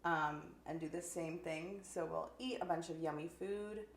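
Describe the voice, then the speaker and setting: A woman in her twenties co-hosting a casual chat, relaxed and rambling with lots of fillers. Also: deep voice, moderate pace. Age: 20s. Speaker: co-host. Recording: echoey room with faint noise.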